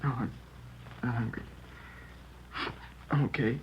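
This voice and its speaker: A man playing a shaken, upset schoolboy in a 1950s radio crime drama, speaking haltingly and plainly with an American accent. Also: mid-range voice, fairly even intonation, lightly acted. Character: shaken schoolboy who found his mother killed. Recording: dull, noisy vintage radio recording.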